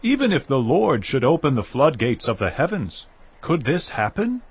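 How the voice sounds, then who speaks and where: An actor playing a skeptical royal officer who speaks in a doubtful, challenging way. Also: male voice, medium pitch, very animated, normal speed, slightly rough, muffled recording.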